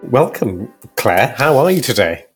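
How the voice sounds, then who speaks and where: A man's voice: mid-range voice, big pitch swings, unhurried, faint music behind. A man hosting a conversational podcast interview, framing his questions in a loose, hesitant way with repeated words.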